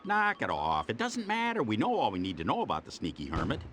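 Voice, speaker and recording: A man's voice: on the low side, wildly swooping pitch, fast, fairly bright tone, slight background noise. A man voicing an exasperated, sarcastic superhero sidekick in a cartoon video game, griping sardonically. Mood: irritated.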